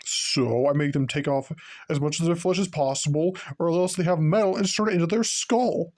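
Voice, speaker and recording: Man as casual serial killer, comedic skit, defensive, halting, offhand, mellow, slightly high voice. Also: natural voice. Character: casual, self-justifying male serial killer. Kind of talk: comedic conversational monologue.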